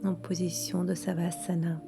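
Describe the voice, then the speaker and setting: A woman leading a guided yoga nidra relaxation in a soothing, hushed manner with long pauses. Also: lowish voice, little pitch movement, creaky, music in the background.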